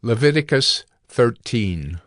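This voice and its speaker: A man announcing chapter headings in a slow, deliberate, formal way. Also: mid-range voice, lively intonation, fairly bright tone, dry recording, clean studio sound.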